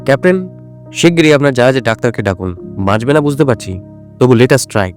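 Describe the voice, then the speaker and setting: Male actor as seasoned detective, older, authoritative, measured, average pitch, audio drama. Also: lively intonation, faint music behind, natural voice. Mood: commanding.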